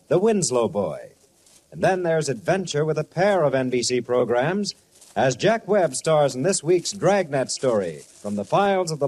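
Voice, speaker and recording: A man's voice: on the high side, darkish tone. A man with an American accent reading scripted announcements and narration in 1950s radio style. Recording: old network radio broadcast.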